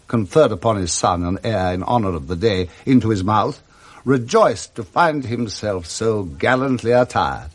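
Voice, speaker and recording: Casting: male British narrator, mid-range voice, expressive literary storytelling for a classic radio drama. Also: medium pitch.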